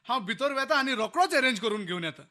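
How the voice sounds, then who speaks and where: An actor playing a patient, helpful husband and master of the house with a Goan accent in a radio play. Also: male voice, very high voice, animated, fast talker, pushed and bright, heavily acted. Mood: reassuring. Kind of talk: Konkani radio play acting.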